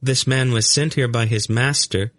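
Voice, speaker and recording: A man voicing an uncanny young boy in a storybook, explaining things calmly and matter-of-factly. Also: mid-range voice, normal speed, no echo, clean studio sound, pitched above the performer's own voice, natural voice. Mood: calm, knowing. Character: calm, uncanny young blond boy who reads minds.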